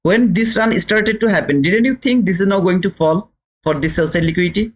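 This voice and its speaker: A man's voice: sits high, a little fast, slight background noise. A man with a Bangladeshi accent hosting a live trading lesson, speaking emphatically to viewers, repeating phrases and posing rhetorical questions. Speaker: trading teacher and livestream host. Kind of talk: live instructional commentary, talking to viewers.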